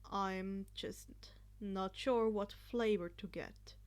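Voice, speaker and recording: A woman voicing a quiet, subdued teenage boy who sounds soft and unsure in a story reading. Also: medium pitch, fairly even intonation, takes it slowly, voice pushed down, lightly acted.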